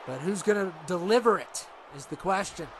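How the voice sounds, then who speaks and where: A middle-aged man commentates a video game wrestling show with a stuffed-up nose. His voice sits rather high, and he rambles excitably, piling filler on filler. A faint background hum runs under everything. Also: moderate pace.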